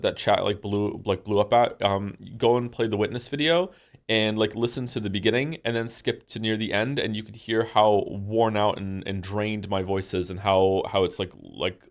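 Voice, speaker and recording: A man around forty, a game streamer chatting casually on a live stream with a congested voice, rambling with many fillers and restarts in a low-key, mildly self-mocking way.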